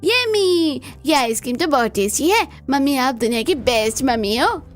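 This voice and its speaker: A woman's voice: high voice, lively intonation, moderate pace, faint music behind. A woman voicing a gleeful little schoolboy in a family cartoon, childish and exclamatory. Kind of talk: Hindi family cartoon voice acting.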